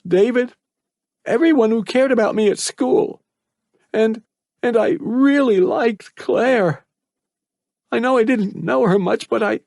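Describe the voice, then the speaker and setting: A man voicing a frightened teenage boy trapped in a cage, high and tearful with a cracking voice. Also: darkish tone, no echo, clean studio sound.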